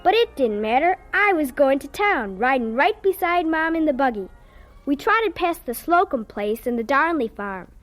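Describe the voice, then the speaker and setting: Woman as an eager, high-voiced young girl. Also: very animated, moderate pace, soft background music. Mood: dreamy, bright. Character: eager, daydreaming young farm girl, narrating and acting. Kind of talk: radio drama narration and dialogue.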